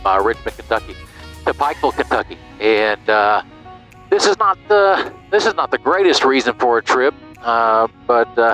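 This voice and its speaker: A man's voice: mid-range voice, pushed and bright, rough recording. American man on an aviation headset. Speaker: pilot and vlogger. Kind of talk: casual cockpit vlog and radio calls. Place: small twin-engine airplane cockpit. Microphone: aviation headset boom mic.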